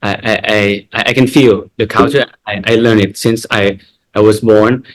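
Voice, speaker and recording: A young actor from Thailand speaking English with a Thai accent, halting and repeating words as he searches for phrasing. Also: fairly low pitch, fairly even intonation, low-quality recording.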